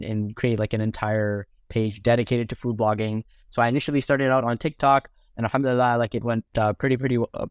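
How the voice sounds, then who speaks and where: A man's voice: medium pitch, clean tone, no room sound, studio quality. A man in his twenties with a Canadian accent, chatting casually as a guest on a podcast. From Toronto area, Canada. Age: 20s. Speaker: podcast guest, food blogger. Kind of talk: casual interview chat.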